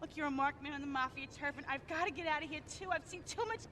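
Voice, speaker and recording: A flighty, anxious mobster's girlfriend in a video game. Her voice is very high and sharp, and she rambles with melodramatic urgency. Faint ambient noise sits behind her. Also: quick pace. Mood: agitated, pleading. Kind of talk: video game cutscene acting.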